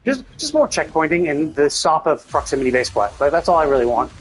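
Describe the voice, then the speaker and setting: A man's voice: a little high, very animated, a little fast, a little hiss or hum, poor audio. A man streaming a game, giving casual, rambling commentary as he thinks aloud and swears freely. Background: game music.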